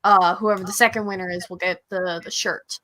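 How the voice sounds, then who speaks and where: Woman, guest author, notably bright tone, mid pitch, informal conversational delivery. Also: steady tone, a little fast. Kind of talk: casual chat.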